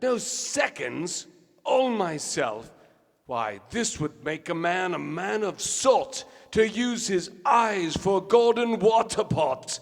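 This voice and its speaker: An actor playing a vain, tipsy Shakespearean stage star with grandiose theatrical delivery in a radio play. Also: male voice, high-pitched, animated, takes it slowly, gravelly, long reverb tail, full character voice. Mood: grand, mad declaiming.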